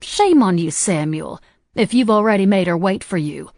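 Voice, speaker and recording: A woman doing a slow, sweet old lady. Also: mid-range voice, animated, a little mellow, dry recording, studio quality, lightly acted. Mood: sweet, doting. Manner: slow, lilting and motherly. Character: elderly woman, sweet, chatty and doting.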